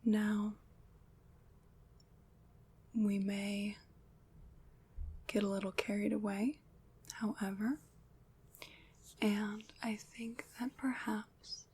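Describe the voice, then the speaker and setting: A woman playing a gentle, protective witch in an ASMR roleplay, whispering in a soft, reassuring, measured way. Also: medium pitch, exaggerated voice. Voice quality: breathy, unvoiced whisper. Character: gentle, protective witch soothing away nightmares.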